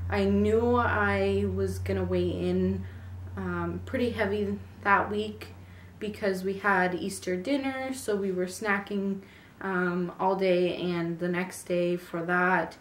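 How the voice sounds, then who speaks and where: A woman's voice: medium pitch, a little hiss or hum. A woman in her twenties with a raw, sore throat from a cold, chatting casually in a pregnancy update vlog with many ums. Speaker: vlogger. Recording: echoey room, mic at a distance.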